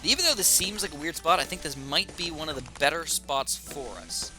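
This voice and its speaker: Excitable young man, bright slightly raspy voice. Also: fairly high pitch, brisk, soft background music. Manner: chatty and excitable, thinking aloud. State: slightly raspy from a sore throat. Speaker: gaming YouTuber. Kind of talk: casual gameplay commentary.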